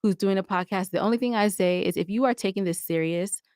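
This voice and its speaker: A woman's voice: mid-range voice, fast, a little mellow, clear, resonant tone, no room sound, studio quality. A woman talking casually as an interview guest, emphatic and given to repeating points for stress. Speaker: interview guest, audio drama podcaster.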